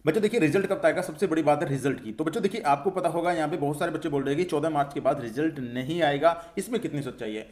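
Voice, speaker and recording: Fast-talking man explaining exam updates. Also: a little high, darkish tone. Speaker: exam-update coach and channel host. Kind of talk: informal explainer addressed to students. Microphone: voice-over for a screen recording.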